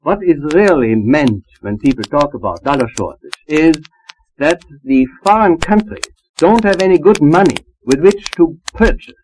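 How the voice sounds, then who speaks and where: A man giving measured, emphatic, formal answers as a trade expert in a panel interview, on an old acetate disc recording. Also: a little high, animated, darkish tone, slight background noise, poor audio.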